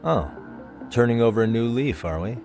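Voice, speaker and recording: A man's voice: medium pitch, lively intonation, normal speed, music in the background, lightly acted. A man playing a composed adult detective in a video game dub, dry, unruffled and wryly skeptical. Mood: wry, suspicious. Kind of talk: video game cutscene English dub acting.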